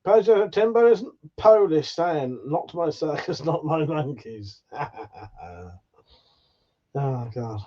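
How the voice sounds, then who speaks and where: A man's voice: a little high, animated, takes it slowly, long pauses, warm tone, lo-fi sound. A man from England, hosting a casual livestream, reading out chat comments in a sweary, jokey way with frequent laughs. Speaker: livestream host.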